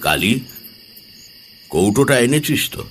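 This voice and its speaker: A man playing a troubled, courteous middle-aged gentleman in an audio horror story, speaking hesitantly. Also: medium pitch, very animated, slow pace, long reverb tail, slight background noise. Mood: grave. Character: troubled gentleman householder, father, polite and uneasy. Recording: music bed behind the voice.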